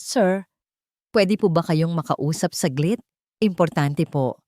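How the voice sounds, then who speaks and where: A woman's voice: fairly low pitch, slow, warm tone, no echo. Woman acting a young housemaid, timid, anxious, earnest, hesitant deferential delivery, audio story, dry sound. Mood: nervous, polite.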